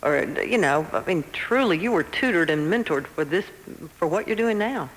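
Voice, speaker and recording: A woman's voice: fairly low pitch, expressive, moderate pace. A woman co-hosting a radio interview, speaking conversationally to the guests. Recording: noisy, distant, hall-like reverb.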